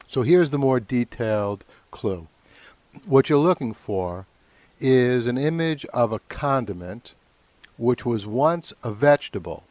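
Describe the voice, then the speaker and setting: A man's voice: medium pitch, animated, measured pace, darkish tone, no echo. Man hosting a podcast, dry close sound. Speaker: podcast host. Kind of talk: solo podcast talk.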